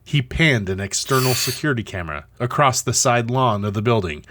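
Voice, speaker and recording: A man's voice: mid-range voice, expressive, fairly quick, a bit bright. A man reading dramatic scripted narration as the narrator of an audio drama. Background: music.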